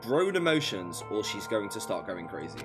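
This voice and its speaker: A man's voice: lowish voice, sing-song, moderate pace. A man live-streaming a visual novel, reading dialogue aloud dramatically and breaking in with incredulous wisecracks. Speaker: game streamer. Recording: game music behind voice. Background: game music.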